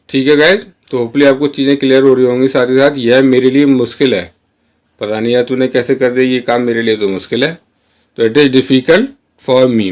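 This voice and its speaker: A man teaching spoken English in a chatty, casual way, reading each example sentence very slowly and word by word. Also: mid-range voice. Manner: chatty, addresses viewers as guys. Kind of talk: Hindi-medium spoken English lesson.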